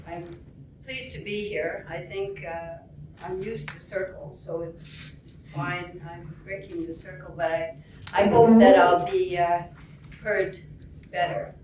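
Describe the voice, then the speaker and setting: A woman in her seventies speaks haltingly, telling stories at a conference. She sits some way from the mic, so her voice sounds distant. The room around her is echoey, with a faint hiss underneath. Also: on the low side, steady tone. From Alberta, Canada. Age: 70s. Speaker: storyteller. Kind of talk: spoken storytelling.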